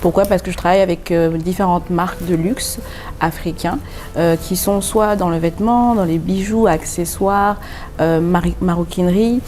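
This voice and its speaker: A woman's voice: fairly low pitch, steady tone, normal speed, darkish tone, slight background noise. A woman from France with a French accent, a designer and boutique founder answering interview questions earnestly, with many hesitations as she explains at length. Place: pop-up boutique.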